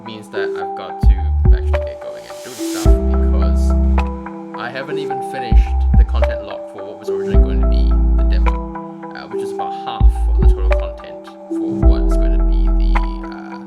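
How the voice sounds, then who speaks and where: Rambling male streamer over loud music. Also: lowish voice, normal speed. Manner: rambling, self-deprecating, muttering asides. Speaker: game writer and designer, streamer. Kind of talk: casual solo livestream devlog chat.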